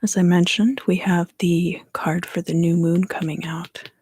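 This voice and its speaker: A woman from the southern US East Coast giving a soft, unhurried ASMR card reading in whispers, often pausing. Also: fairly low pitch, steady tone, husky, dry recording. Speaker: tarot reader and ASMR creator.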